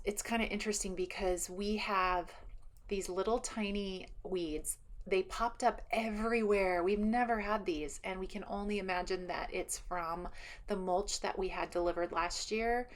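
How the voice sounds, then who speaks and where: A woman giving a casual, enthusiastic garden tour outdoors, rambling and thinking aloud as a vlogger does. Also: mid-range voice. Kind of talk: casual chatty walk-around narration.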